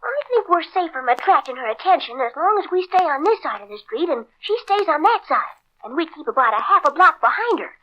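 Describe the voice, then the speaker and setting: A woman playing a plucky, sharp-witted young girl in a 1940s radio serial, earnest and eager as she reasons things out. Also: high voice, sing-song, fairly quick, dull audio. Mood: cautious, hushed. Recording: noisy old 1940s radio recording.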